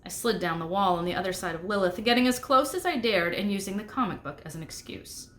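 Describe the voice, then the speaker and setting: Woman narrator, medium pitch, expressive audiobook reading, snarky first-person storytelling, middle grade fiction. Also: fast talker. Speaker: narrator reading a book aloud.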